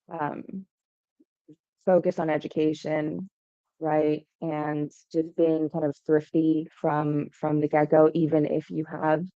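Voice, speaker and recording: A woman's voice: deep voice, vocal fry, a little mellow, no echo. A young adult woman from Hawaii, a startup founder answering podcast interview questions in a conversational way, often saying "um" and "right?". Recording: dull lo-fi sound.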